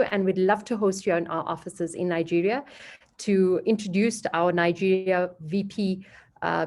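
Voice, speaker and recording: A woman's voice: fairly low pitch, a little mellow. A woman moderating an online business panel, talking loosely and hunting for words as she introduces speakers. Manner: loose and conversational, hunts for words.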